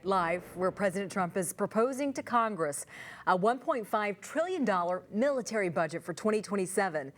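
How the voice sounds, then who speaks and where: A woman with an American accent reading scripted broadcast news as an anchor. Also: mid-range voice.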